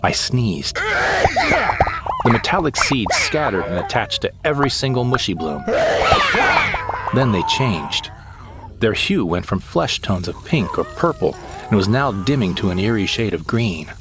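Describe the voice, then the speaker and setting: A low, deep male voice tells the tale as a space hero's sidekick, a man playing him, his pitch swinging widely as he lingers over each strange sight. He speaks in a measured storytelling manner over steady music in the background. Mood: wry. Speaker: narrator. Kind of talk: scripted audio drama narration.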